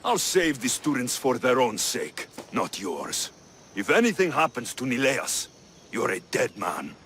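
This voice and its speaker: An actor voicing a bold, wry, commanding Greek mercenary captain in a video game. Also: male voice, medium pitch, sing-song, a little slow, a bit bright, a little hiss or hum. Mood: stern, skeptical. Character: bold, flirtatious, stern male Greek mercenary ship captain. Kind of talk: video game character acting.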